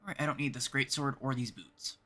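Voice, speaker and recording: A man's voice: mid-range voice, a little fast, sharp tone. A man with a Canadian accent, chatting casually as a livestream host and thinking aloud while he plays.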